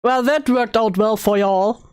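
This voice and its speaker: Sarcastic woman reacting, slightly high voice. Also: a little slow, darkish tone. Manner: sarcastic, interjecting, repeats phrases mockingly. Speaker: reaction video host. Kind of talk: casual mocking live commentary.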